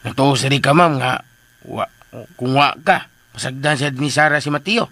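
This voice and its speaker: A man's voice: medium pitch, normal speed, sharp tone. A man playing a folksy, deferential household helper in a radio drama, rambling and repeating himself.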